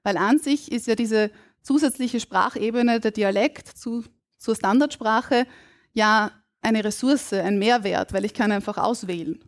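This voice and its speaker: A woman from Burgenland with an Austrian German accent, a linguist on a live podcast stage, explaining in a measured, academic way. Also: fairly high pitch. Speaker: guest linguist. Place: stage before a live audience.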